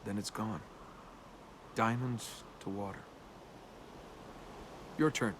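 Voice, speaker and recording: A man's voice: fairly low pitch, steady tone, takes it slowly. Wry, cynical young male adventurer. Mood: wistful. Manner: casual, wry, guarded. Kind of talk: naturalistic video game dialogue. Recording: game ambience noise behind voice.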